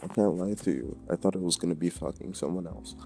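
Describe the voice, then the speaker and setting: Very dark, low-voiced man, gravelly. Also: fast talker, faint music behind. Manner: sweary, slangy, exasperated. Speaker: anime commentary video creator. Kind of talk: casual opinion rant.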